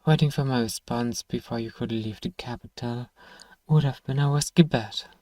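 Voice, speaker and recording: A gruff apothecary from a fantasy ASMR roleplay, acted by a man, speaks at a medium pitch with a dark, mellow tone. He is sardonic and grudgingly kind, full of sighs and dry teasing aimed at a single listener. The sound is intimate and close, with hardly any room on it. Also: normal speed, no room sound, lightly acted. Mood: sombre, apologetic. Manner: dry teasing, sighing, murmuring to one listener.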